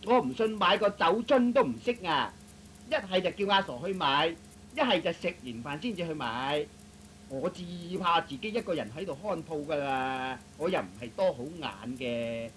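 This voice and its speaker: A man's voice: fairly high pitch, animated, moderate pace, a bit bright. A man voicing a bossy, impatient shopkeeper's wife who nags and scolds in a Cantonese comic radio play. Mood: nagging. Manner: scolding, exasperated, naggy. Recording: noisy old radio recording.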